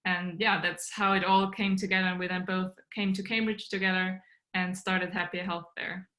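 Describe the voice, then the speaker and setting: A woman's voice: on the low side, little pitch movement, normal speed, pushed and bright, poor audio. A young woman from Austria, a startup co-founder earnestly explaining her work over a video call. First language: German. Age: young adult. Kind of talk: podcast interview answers. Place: small bedroom at home.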